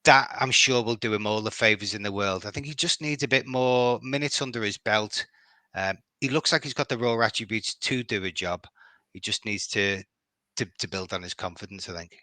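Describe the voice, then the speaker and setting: A man's voice: lowish voice, normal speed, bright, forceful tone, no echo. A middle-aged man from Liverpool with a Liverpool accent, chatting as a podcast guest, hesitant and often repeating words. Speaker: guest fan. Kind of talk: casual podcast chat.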